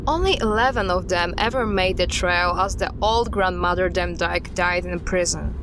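A woman playing an earnest, guarded expert recounting dark history in an audio drama styled as a recorded interview, with a measured, serious delivery. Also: lowish voice, background noise, close to an everyday voice. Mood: grave, measured.

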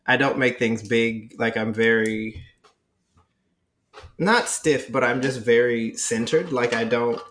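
A young man with a nasal, mid-pitched voice trades casual talk on a podcast. He runs himself down with a wry edge and keeps restarting his sentences. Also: fairly even intonation, slow pace, fairly bright tone, a little hiss or hum. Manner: self-deprecating, talks in restarts. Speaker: podcast co-host. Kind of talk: casual chat.

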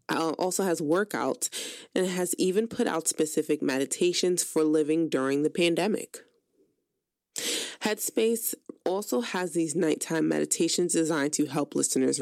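A woman with a low voice hosts a radio talk show and works through her notes in a relaxed, chatty way. She breaks off from the reading to add casual asides with plenty of "um"s. The sound is dry and close, with no room in it. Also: creaky, no room sound. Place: radio studio.

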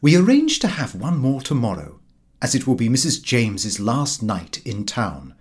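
A man reading a comic diary aloud as an audiobook narrator, in expressive scripted narration. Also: mid-range voice, moderate pace.

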